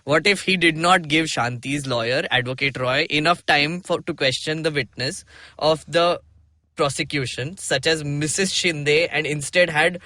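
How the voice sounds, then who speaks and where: Bright-voiced Indian man, dry close sound. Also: a little high, normal speed, no room sound. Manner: checks in often with "right?". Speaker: podcast co-host. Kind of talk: casual explanatory discussion, reading bits aloud.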